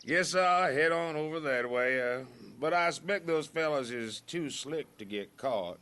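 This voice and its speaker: An actor playing an easygoing, knowing county sheriff in a radio drama, with an Appalachian accent and folksy country talk. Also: male voice, a little high, unhurried, pushed and bright, smooth. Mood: genial, knowing. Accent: American Appalachian.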